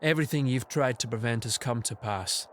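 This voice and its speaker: A man voicing a scheming, cold, ambitious young knight in an audio drama, smooth and condescending. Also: mid-range voice, a little fast, a little hiss or hum, close to an everyday voice. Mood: mocking.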